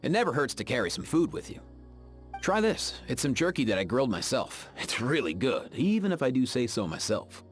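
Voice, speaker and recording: An actor voicing an easygoing, friendly young veterinarian in a video game, with faint music behind. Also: male voice, mid-range voice, very animated, fairly quick, natural voice. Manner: easygoing and friendly, with frequent laughs. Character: laid-back young man, a veterinarian who keeps saurians. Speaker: game character. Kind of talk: game voice acting.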